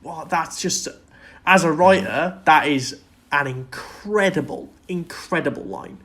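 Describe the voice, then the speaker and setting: A man's voice: a little high, measured pace, fairly bright tone. A man co-hosting a podcast, talking casually and enthusiastically in loosely worded thoughts about film and TV. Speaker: podcast co-host.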